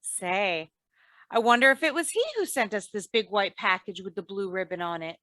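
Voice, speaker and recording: A woman playing a patient, sensible, gently teasing housewife in old-time radio comedy, with a folksy, gently chiding manner. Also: medium pitch, moderate pace, no room sound, clean studio sound, close to an everyday voice. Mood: wry.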